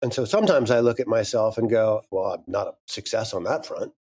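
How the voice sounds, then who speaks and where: A man's voice: fairly low pitch, brisk, a little mellow, husky. Frank, candid man in his fifties. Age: 50s. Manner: frank, candid, swears casually. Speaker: guest, audio drama creator. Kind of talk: casual interview chat.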